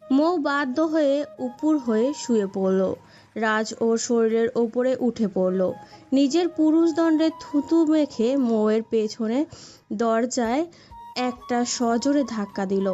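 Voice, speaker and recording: A woman's voice: on the high side, unhurried, mellow. A woman reading a scripted story as its narrator. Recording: dull muffled sound with music behind.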